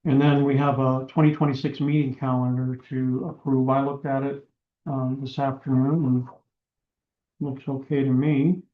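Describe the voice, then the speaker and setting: A man chairing a town commission meeting, his voice going hoarse, talking haltingly with many fillers. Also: mid-range voice, flat delivery. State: losing his voice. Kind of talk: meeting proceedings. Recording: echoey room, dull lo-fi sound.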